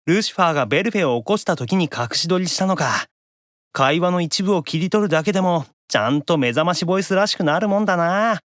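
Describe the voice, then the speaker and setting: A man playing an excitable, easily flustered otaku demon younger brother in an anime audio drama. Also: sits high, fast, no echo, studio quality. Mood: pleased, sly. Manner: flustered, stammering when confronted.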